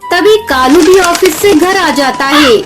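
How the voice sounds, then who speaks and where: A woman's voice: very high voice, unhurried. A woman reading scripted narration for a children's cartoon story. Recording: music bed behind voice.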